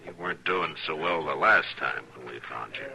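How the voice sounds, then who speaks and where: Deep, bright-edged frontier marshal. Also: male voice, vocal fry, full character voice. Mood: firm, concerned. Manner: laconic, measured, hesitant 'uh' pauses. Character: steady, plain-spoken frontier marshal, concerned and firm. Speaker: lead actor. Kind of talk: old-time radio western acting. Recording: old-time radio broadcast recording.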